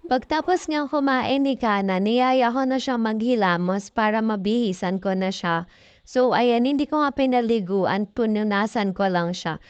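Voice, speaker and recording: A woman's voice: mid-range voice, clean tone, dry recording, highs cut off. A young Filipino woman with a chatty, informal manner, narrating a casual vlog as a voice-over. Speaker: mom vlogger.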